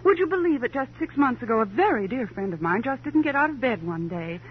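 A woman's voice: on the high side, sing-song, fairly quick. An actress playing a wealthy, proud divorced woman in a 1950s radio crime drama, with an arch, sardonic, flippant manner and affected society phrasing. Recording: muffled old radio recording, hum and hiss.

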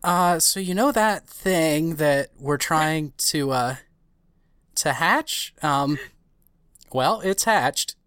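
Woman as doting spider mom, low-pitched, hesitant, earnest, understated, dry close sound, audio drama. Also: expressive, very slow, a bit bright, clear, resonant tone, no room sound, full character voice. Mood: nervous, awkward. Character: Martha, woman tenderly doting on a baby spider. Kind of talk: scripted amateur audio drama acting.

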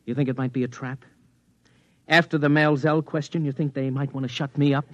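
An actor playing a defiant, idealistic younger scientist in a vintage radio drama, brusque, intense and challenging. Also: male voice, medium pitch, fairly even intonation, brisk, dry recording, muffled recording, lightly acted. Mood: guarded, determined. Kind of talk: 1950s radio drama acting.

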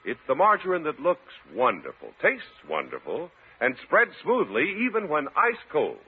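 Swooping vintage radio announcer man. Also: fairly high pitch. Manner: polished, emphatic sales delivery. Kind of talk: scripted vintage radio advertising. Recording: tinny, noisy vintage radio recording.

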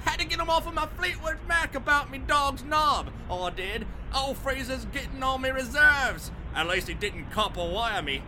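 A man's voice: very high-pitched, noisy background. A man voicing a chirpy Cockney bloke who banters cheekily in rhyming slang, with a Cockney accent. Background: music.

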